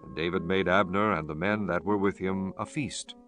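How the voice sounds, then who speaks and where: A man's voice: sits low, steady tone, normal speed, a bit bright. A man narrating a dramatized scripture audiobook in a scripted, formal reading style. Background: instrumental music.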